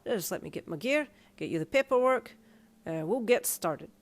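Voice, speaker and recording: A woman's voice: medium pitch, expressive, moderate pace, natural voice. A woman voicing a friendly, chummy Irish man who works as a piercer and tattoo artist in a narrated story. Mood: businesslike, friendly. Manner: chummy, colloquial, cheery.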